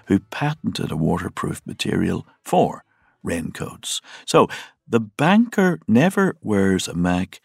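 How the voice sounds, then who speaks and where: Gravelly, low-voiced male podcast narrator. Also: sing-song, takes it slowly, no echo, studio quality. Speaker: podcast host. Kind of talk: scripted storytelling narration.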